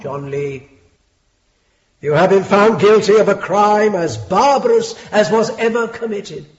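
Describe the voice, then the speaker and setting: An actor playing a stern, lofty Victorian judge with an English accent, formal and measured, in a British radio drama. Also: male voice, high voice. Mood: solemn. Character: stern, lofty Victorian judge presiding over a murder trial. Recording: dull, muffled lo-fi drama recording.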